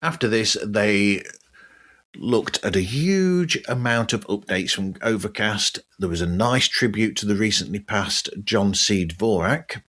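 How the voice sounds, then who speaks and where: A man hosting a podcast, reading a scripted news roundup in a measured, deliberate way. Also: fairly low pitch, expressive, fairly bright tone. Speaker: podcast host.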